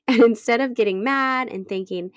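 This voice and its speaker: A woman's voice: a little high, smooth, no echo, studio quality. A woman in her thirties hosting her own podcast, stuffy from a cold, talking in an earnest, encouraging way. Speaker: podcast host. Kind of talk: solo podcast talk.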